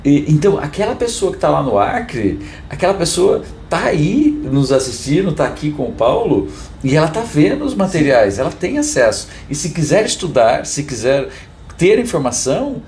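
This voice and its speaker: A hoarse man with a Brazilian accent chatting casually on a podcast, emphatically repeating his phrases with halting restarts. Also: on the high side, big pitch swings, darkish tone. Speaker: podcast host, hair tools business owner. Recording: roomy echo, faint background noise.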